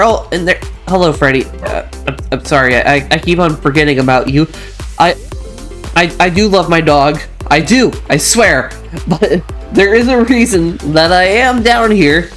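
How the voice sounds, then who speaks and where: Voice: mid-range voice, animated, normal speed, a bit bright, faint music behind. A host with a stuffy voice from mild allergies, chatting casually while narrating gameplay, rambling and restarting sentences. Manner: chatty, rambling, self-correcting with frequent restarts. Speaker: channel host. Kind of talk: casual gaming commentary over gameplay.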